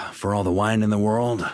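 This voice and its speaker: An actor playing a relaxed, good-humored grandmaster knight, speaking in an easygoing, wry way. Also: male voice, lowish voice, a little slow, faint music behind. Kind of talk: game voice acting.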